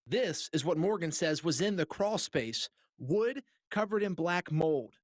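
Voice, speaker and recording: A man's voice: on the high side, expressive, measured pace, background noise. A man reporting as a TV investigative journalist, reading scripted narration for a news package.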